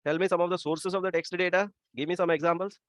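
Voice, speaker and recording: Indian male teacher who talks fast and quizzes the class. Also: high voice, fairly even intonation, no room sound. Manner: quick, asks the class for answers. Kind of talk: classroom lecture. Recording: dry, dull lo-fi sound.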